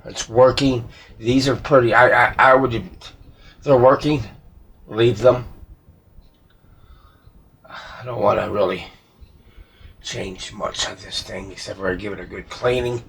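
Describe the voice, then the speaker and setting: Gravelly man muttering over workbench repairs. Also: medium pitch, steady tone, takes it slowly, pauses often, fairly bright tone. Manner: folksy, talking to himself, mild swearing. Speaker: radio repair hobbyist. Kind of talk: casual muttered talk while working at the bench. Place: hobby workshop. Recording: noisy workshop, clicks and handling sounds.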